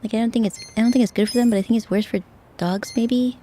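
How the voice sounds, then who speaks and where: A young woman streaming a game, chatting softly and casually with viewers, often repeating words as she goes. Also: fairly high pitch, fairly even intonation, a little hiss or hum. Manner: soft-spoken, halting, repeats words. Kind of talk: casual gaming stream chat.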